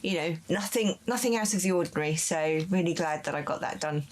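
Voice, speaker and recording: A woman's voice: on the low side, fairly quick, a little hiss or hum. Chatty woman hosting a pet show. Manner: chatty, upbeat, laughs easily. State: tired after a vaccination. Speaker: show host and ferret keeper. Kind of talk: casual presenting to camera.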